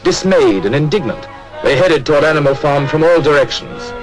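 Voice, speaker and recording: Guy narrating an old 50s cartoon film, formal storyteller, lively pitch, faint music under him. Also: fairly quick, low-quality recording. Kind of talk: 1950s animated film narration, scripted storytelling.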